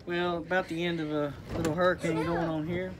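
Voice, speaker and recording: A man, explaining things in a calm, matter-of-fact way while a phone records him indoors. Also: fairly high pitch, fairly quick, crowd noise. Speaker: homesteader, co-host. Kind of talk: casual vlog chat. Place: dark mobile home, indoors.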